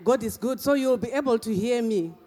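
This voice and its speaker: A man's voice: extremely high pitch, fairly quick, darkish tone. A middle-aged man from Zimbabwe with a Zimbabwean accent mixed with English and Australian tones, preaching an emphatic sermon in a church and breaking often into hallelujahs. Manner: emphatic, punctuated with frequent hallelujahs. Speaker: guest preacher. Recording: long church reverb.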